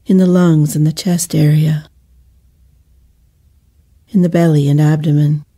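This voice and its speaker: Female meditation guide, deeply mellow and relaxed timbre, soothing and measured, leaving gentle pauses. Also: slightly rough.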